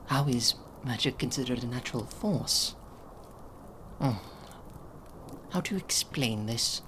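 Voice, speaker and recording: A man voicing a regal, gentle owl demon prince in an ASMR audio roleplay, speaking softly and theatrically and slipping into whispers. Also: mid-range voice, noisy background, lightly acted. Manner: soft-spoken, theatrical, drifting into whispers. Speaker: voice actor in fan audio roleplay.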